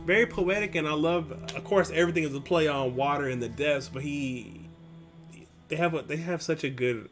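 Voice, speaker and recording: A man's voice: fairly high pitch, normal speed, fairly bright tone, soft background music. A man hosting a reaction video, commenting in a casual, slangy way. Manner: slangy, informal.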